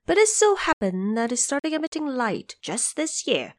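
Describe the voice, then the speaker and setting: A woman's voice: high voice, sing-song, normal speed, no echo, clean studio sound, voice pushed up. A woman voicing a boastful, gleeful male Monkey King in an audio drama, proud and showy with a commanding streak. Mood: boastful, pleased. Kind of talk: audio drama character acting.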